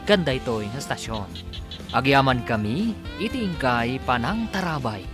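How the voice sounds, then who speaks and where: Brief: male radio announcer, sweeping expressive delivery, music bed. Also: medium pitch, normal speed. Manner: formal, announcing with sweeping emphasis.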